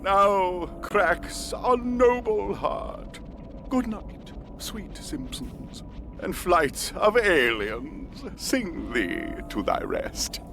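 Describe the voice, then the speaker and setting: An actor playing a menacing, theatrical villain who speaks in grand, drawn-out phrases in a game cutscene. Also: male voice, sits high, big pitch swings, creaky, over music, exaggerated voice. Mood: gloating. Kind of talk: video game cutscene acting.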